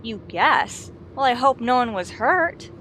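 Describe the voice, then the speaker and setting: A woman's voice: a little high, big pitch swings, measured pace, a bit bright, a little hiss or hum. An actress playing a wry, wisecracking woman English teacher with dry, sardonic delivery in a 1950s radio sitcom. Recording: old radio broadcast recording.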